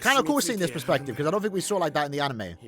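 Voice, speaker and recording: A man's voice: fairly high pitch, very animated, rapid-fire, a bit bright. A man giving casual, chatty and enthusiastic commentary on gameplay as a gaming YouTuber. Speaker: gaming YouTuber reviewing a mobile game's cutscenes.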